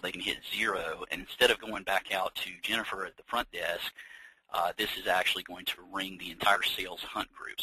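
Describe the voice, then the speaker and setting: An American man leading an informal software training webinar, explaining things conversationally with practical examples. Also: deep voice, husky, no echo, rough recording. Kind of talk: informal software demonstration, unscripted walkthrough.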